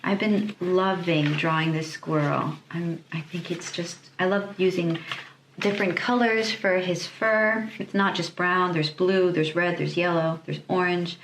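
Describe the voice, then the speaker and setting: A woman illustrator chatting casually on her vlog, hesitant, often pausing and repeating words as she thinks. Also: fairly low pitch. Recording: echoey room, clear background noise.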